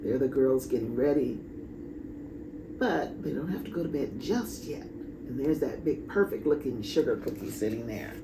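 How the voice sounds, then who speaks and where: A woman reading a children's story aloud in a dramatic, deliberate way with pauses. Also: very deep voice, expressive. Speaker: storybook reader. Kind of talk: expressive children's read-aloud. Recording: echoey room, clear background noise.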